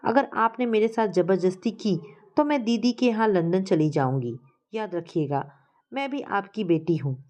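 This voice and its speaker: A woman's voice: mid-range voice, lively intonation, normal speed, a little mellow, close to an everyday voice. A woman voicing a defiant young adult daughter in a family story, firm and unyielding.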